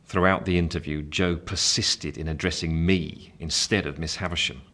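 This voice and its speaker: An actor playing a grown man recalling his boyhood, narrating a literary radio play in a measured, wry way. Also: a bass voice, fairly even intonation, fairly bright tone. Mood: reflective. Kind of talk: scripted first-person storybook narration.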